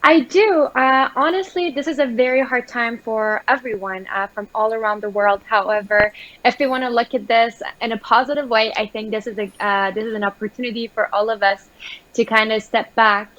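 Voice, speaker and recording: A woman from Iran calling into a live show from her home, speaking in a measured, articulate way and pausing often on "uh" and "um.". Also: on the high side, lo-fi sound. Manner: articulate, steady, measured with many uh and um. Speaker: guest caller, recreational therapist. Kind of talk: conversational call-in interview. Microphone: remote call-in line.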